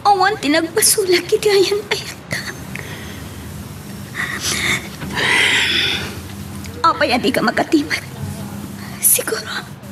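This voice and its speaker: A woman's voice: very high-pitched, lively intonation, moderate pace, whispered. A woman acting a heartbroken young daughter in love in a plaintive radio romance drama. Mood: longing.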